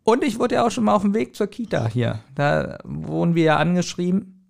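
A man with a gravelly, fry-laden voice sitting a little high for a male speaker. He co-hosts a podcast in casual, colloquial language. He thinks things over as he talks, with some hesitation. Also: lively intonation, fairly quick. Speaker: podcast co-host. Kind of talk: casual chat.

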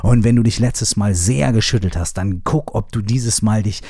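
A man with a very deep, husky voice talks a listener through a body-shaking exercise. His instructions repeat in a soft, half-whispered way, casual enough to slip in a curse. The sound is dry and close, with no room around it. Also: normal speed, dry recording. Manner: repetitive spoken instructions with casual swearing. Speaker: movement exercise guide. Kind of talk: guided shaking meditation.

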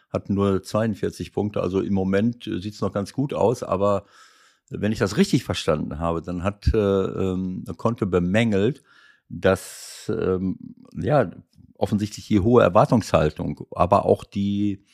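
Rambling older man with a gravelly voice. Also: low voice, unhurried, a little mellow. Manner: rambling, many hesitations. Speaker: football coach and commentator, podcast co-host. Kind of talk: casual podcast chat.

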